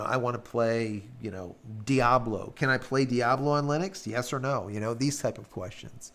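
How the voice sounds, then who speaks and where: A man with a raspy voice hosting a live stream, casually chatting and reading out viewer comments, rambling with lots of fillers. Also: medium pitch. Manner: rambling, filler-heavy, hums while scrolling.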